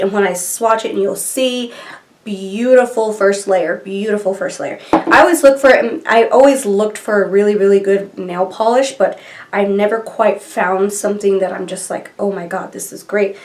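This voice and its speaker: A woman's voice: mid-range voice, a little mellow. Stuffy-nosed woman gushing over nail polish. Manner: chatty and rambling, gushing over colors. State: stuffy nose from allergies. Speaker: beauty vlogger. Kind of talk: casual product review chat. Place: small bedroom filming nook, indoors.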